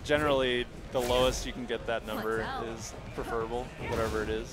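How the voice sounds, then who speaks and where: A man's voice: medium pitch, steady tone, fast talker. A man chatting casually and informally as a game developer in a livestream interview. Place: gaming event venue. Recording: music behind the voice. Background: music.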